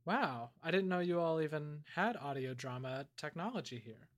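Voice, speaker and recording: A man plays a politely puzzled theater buff on a comedy podcast. His voice sits a bit high and is recorded dry, with hesitant, faintly pretentious questions. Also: expressive, normal speed, a bit bright, no echo. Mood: curious. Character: curious newcomer man, self-styled theater buff. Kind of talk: improvised comedy podcast banter in character.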